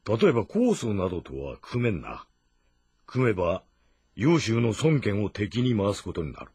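An actor playing a grave, measured older sworn-brother general in a historical game drama, with deliberate, stern diction. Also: male voice, fairly low pitch, huge pitch swings, darkish tone, breathy edge, dry recording, exaggerated voice. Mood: measured, sober. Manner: deliberate, stern, martial, old-fashioned diction.

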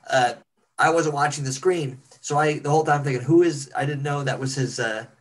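Halting man in casual chat. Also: on the high side. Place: indoors on a video call.

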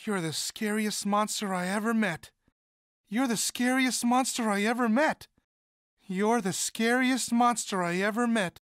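A man voicing a sweet, timid young monster student in an animated video game, speaking in a hesitant, polite, childlike and earnest way. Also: high voice, unhurried, no room sound, clean studio sound. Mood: shy, awkward. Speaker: video game character voice actor.

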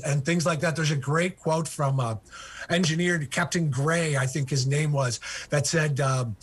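An older man with a slightly husky, fairly high voice tells a history story over a video call. He talks with eager energy, full of ums and uhs. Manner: enthusiastic, many ums and uhs. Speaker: author and guest. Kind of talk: remote interview storytelling.

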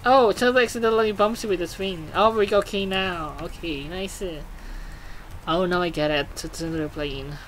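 An excitable gaming host giving casual commentary, exclaiming and swearing while reading game text aloud. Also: mid-range voice, normal speed, a little hiss or hum, poor audio.

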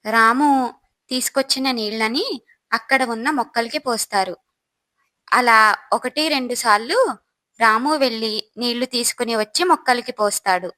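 A woman's voice: fairly high pitch, moderate pace, long pauses, sharp tone. A woman narrator reading a scripted moral story.

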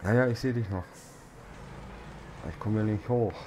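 A man's voice: on the low side, moderate pace, a little mellow, slight background noise. A man streaming an off-road driving game, chatting casually with a friend and playfully exclaiming and sing-songing as he drives. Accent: German. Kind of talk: casual gaming chat with a friend.

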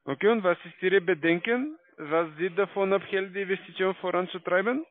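High-voiced foreign-accented man on a phone line. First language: not German. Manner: halting, non-native grammar. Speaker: call-centre salesman. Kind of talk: scripted phone sales pitch. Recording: dry, tinny phone line.

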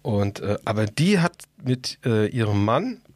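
A middle-aged man co-hosts a podcast in a husky voice, talking in a slangy, enthusiastic way. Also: on the low side, sing-song, unhurried, a little mellow, no echo. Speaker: podcast co-host. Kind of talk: casual podcast chat.

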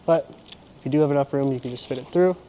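A man's voice: medium pitch, quick pace, noisy background. A young man in his twenties casually explaining a kayak carrier install step by step while working around a vehicle in an indoor garage. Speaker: product installer and presenter. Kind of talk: product installation demo.

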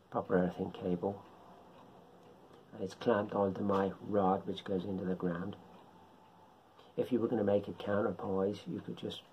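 A middle-aged man with a husky voice gives an informal beginners' tutorial, explaining haltingly in short phrases at home. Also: fairly low pitch, warm tone, dull audio, a little hiss or hum. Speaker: hobbyist radio instructor. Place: home living room.

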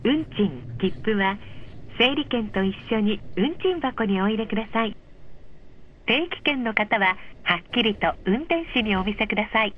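A woman reading a polite, measured scripted announcement over a train's speakers, clearly enunciated. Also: medium pitch, lively intonation, slight background noise. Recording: dull, muffled train speaker recording.

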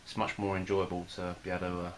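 A young man casually reviewing a beer at home, talking in a relaxed, hesitant way with many fillers. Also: low voice, fast talker, a little hiss or hum. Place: small room in front of a plain wall.

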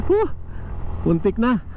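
A man giving chatty, unhurried commentary while riding a scooter outdoors on a road. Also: very high voice, sing-song, warm tone, highs cut off. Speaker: motovlogger. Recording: wind and traffic noise.

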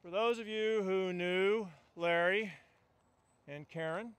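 A man's voice: high-pitched, takes it slowly, fairly bright tone, clear, resonant tone, no echo. Older man giving a wry outdoor eulogy. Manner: wry, storytelling delivery. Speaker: union delegate giving a eulogy. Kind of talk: funeral eulogy. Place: outdoors at a funeral gathering among trees. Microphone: stand mic.

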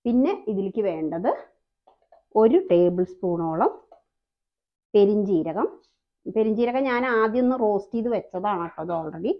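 A woman's voice: medium pitch, animated, moderate pace, long pauses. Woman, cooking voice-over, friendly explainer, slightly dull lo-fi sound. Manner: chatty, explains each step, frequent tag questions. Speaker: cooking channel host.

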